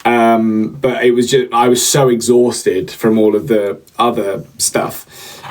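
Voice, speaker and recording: A man's voice: mid-range voice, flat delivery, takes it slowly, low-quality recording. A young man hosts a casual livestream. He rambles and trails off, filling gaps with ums and repeated phrases as he answers viewers. He speaks close to the mic in a medium voice. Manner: rambling, repeats himself, many fillers. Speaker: livestream host.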